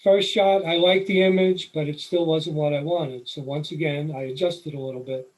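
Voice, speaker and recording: Middle-aged New York man, slightly high voice, calm explanatory presenter for a photo talk. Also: moderate pace, rough recording. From New York City. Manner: relaxed, explanatory, with occasional fillers. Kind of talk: slide presentation lecture.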